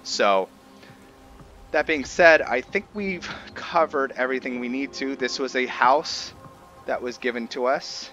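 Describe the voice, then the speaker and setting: A man giving casual, halting commentary as he explains his roleplay video game playthrough. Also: medium pitch, pushed and bright, highs cut off. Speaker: gamer narrating a roleplay playthrough. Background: faint game music.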